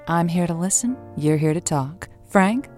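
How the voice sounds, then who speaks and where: A woman's voice: fairly low pitch, animated, measured pace, darkish tone, faint music behind. A woman playing a romantic, sympathetic radio advice host in a semi-improvised audio drama, speaking in an earnest, emphatic way. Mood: welcoming.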